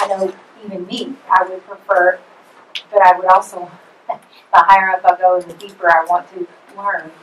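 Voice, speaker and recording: A woman's voice: on the low side, noisy background. A woman speaking thoughtfully at length in a forum discussion, weighing her points as she goes. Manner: thoughtful, rambling. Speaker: forum participant. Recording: echoey room from far off.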